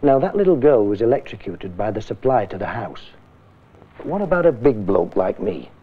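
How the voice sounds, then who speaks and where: A man's voice: fairly low pitch, very animated, normal speed, husky, heavily acted. A man playing a stern, blunt middle-aged British science teacher, questioning and warning a class in a safety film. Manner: emphatic, questioning, admonishing. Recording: muffled, thin old public information film.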